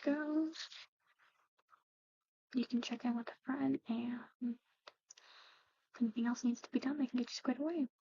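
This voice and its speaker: A woman performing a soft-spoken, whispered ASMR roleplay as a soothing, slightly eerie medic, murmuring gently. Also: fairly high pitch, steady tone, unhurried, gravelly, pauses often, slightly rough, dry recording, dull audio. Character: soothing eerie female medic tending a patient.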